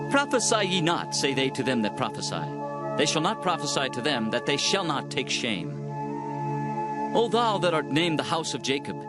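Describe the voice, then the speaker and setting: A man playing an impassioned prophet in a dramatized scripture reading, declamatory and theatrical as he laments and warns his people, with music behind. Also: a little high. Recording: music under the voice.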